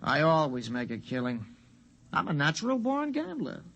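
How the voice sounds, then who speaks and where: A cocky, lively young space gambler. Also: male voice, on the high side, normal speed, vocal fry, highs cut off, heavily acted. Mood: mocking, boastful. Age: young adult. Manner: brash, mocking. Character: cocky, sneering young gambler, greedy and green. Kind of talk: 1950s radio drama acting. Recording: old radio drama recording.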